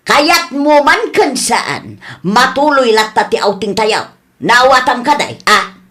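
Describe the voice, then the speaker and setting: A woman's voice: a little high, sing-song, slow, fairly bright tone, exaggerated voice. A woman with an Ilocano Filipino accent playing a bossy, meddling older mother in a radio comedy drama. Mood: bossy, scolding. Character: bossy, meddling older mother who will not be refused.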